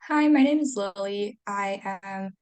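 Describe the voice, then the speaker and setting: A young woman from China and Canada, a student club coordinator, speaking softly on a video call. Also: mid-range voice, a little slow, smooth. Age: young adult.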